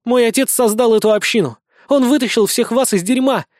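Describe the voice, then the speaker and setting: A man's voice: extremely high pitch, fairly quick, darkish tone, dry recording, clean studio sound, pitched above the performer's own voice. A man voicing a defiant young bandit girl who snaps and hisses her retorts in a fantasy audiobook. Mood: indignant. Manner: snapping, hissing retorts. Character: defiant young bandit girl, fiery, foul-mouthed heiress.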